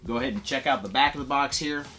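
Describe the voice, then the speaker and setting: Male reviewer, mid-pitched, chatty and upbeat product walkthrough with music underneath. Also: quick pace, pushed and bright. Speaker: toy blaster reviewer. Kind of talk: casual product review to camera.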